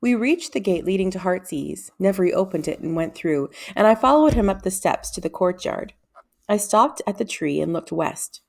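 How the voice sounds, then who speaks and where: A woman's voice: medium pitch, lively intonation, moderate pace, creaky. A woman reading a children's novel aloud as a scripted storybook narrator.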